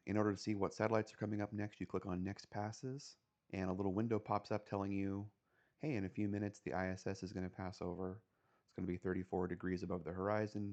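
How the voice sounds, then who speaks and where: Low voiced guy doing a casual walkthrough of his ham radio gear, plain matter-of-fact explaining. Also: mellow. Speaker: amateur radio operator giving a station tour.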